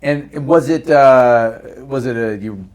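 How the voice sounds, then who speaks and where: A man's voice: mid-range voice, slow, a crowd in the background. A man hosting a podcast, chatting casually as he interviews his guests. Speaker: podcast host interviewing voice actors.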